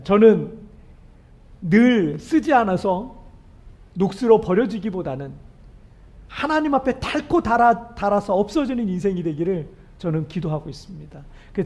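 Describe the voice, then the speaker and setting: A male pastor preaching a sermon in an earnest, emphatic way, often putting questions to the congregation. His voice is weakened and hoarse and cracks on high notes. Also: a little mellow.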